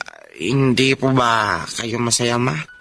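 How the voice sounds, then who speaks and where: An actor playing a kind, forgiving young Filipino architect and devoted son in an emotional radio drama, speaking earnestly and respectfully. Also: male voice, medium pitch, animated, takes it slowly, fairly bright tone. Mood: proud, hopeful.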